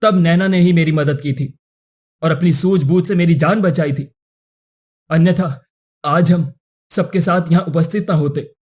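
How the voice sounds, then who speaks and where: A man's voice: on the high side, unhurried, pauses often, a little mellow. A man playing a principled young prince in a cartoon story, speaking earnestly and formally.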